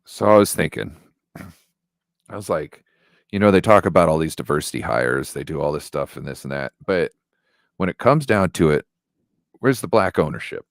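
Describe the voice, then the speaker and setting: A man's voice: a bass voice, animated, normal speed, pauses often, no room sound, clean studio sound. A man co-hosting a sports fan show in casual, slangy banter, often checking in with the listener. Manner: slangy, informal, peppers talk with check-in phrases.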